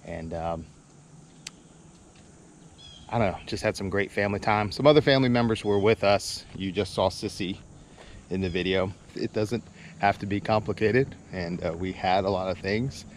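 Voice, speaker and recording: Middle-aged male vlogger, low voice, relaxed rambling delivery, recorded outdoors with light nature noise. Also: moderate pace, pauses often. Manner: laid-back, rambling, chuckles while talking. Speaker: vlog host. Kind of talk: casual outdoor vlog chat. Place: outdoors at a campground. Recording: outdoor noise, birds and insects.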